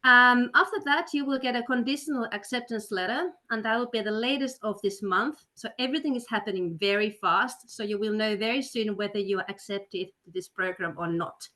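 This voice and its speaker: A woman's voice: a little high, a little fast, few pauses, bright, forceful tone. A woman hosting an education webinar, upbeat and persuasive, with frequent fillers. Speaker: webinar host, study counselor. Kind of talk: webinar presentation. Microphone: video call.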